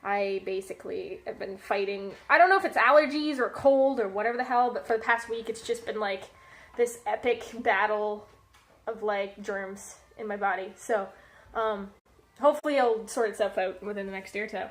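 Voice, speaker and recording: A woman's voice: mid-range voice, moderate pace. A woman hosting a casual cooking live stream, chatty and rambling with lots of fillers, sounding stuffy and a little nasal from a cold. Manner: chatty and rambling, many fillers and self-corrections. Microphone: low-set streaming mic.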